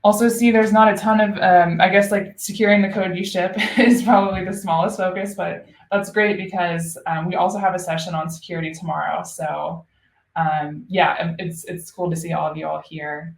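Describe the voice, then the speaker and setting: A woman's voice: on the low side, fairly even intonation, normal speed, gravelly, roomy sound, a little hiss or hum. A woman hosting a live online webinar as a solutions engineer, chatty and upbeat with frequent ums.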